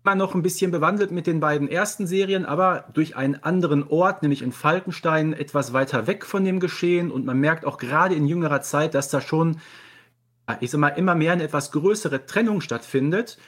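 Male podcast guest, slightly high voice, detailed explanatory delivery in casual discussion. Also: fairly quick, a bit bright.

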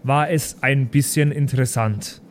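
A man's voice: medium pitch, fairly even intonation, a little slow, slight background noise, close to an everyday voice. A man playing an earnest, worn-out schoolteacher who rambles, in an improvised tabletop audio play. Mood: earnest, weary. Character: Thomas, frazzled, earnest male schoolteacher.

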